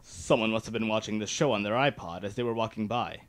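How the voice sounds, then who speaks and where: A man's voice: fairly low pitch, fast, no echo. A man reading first-person fan fiction aloud as an amateur dramatic narrator.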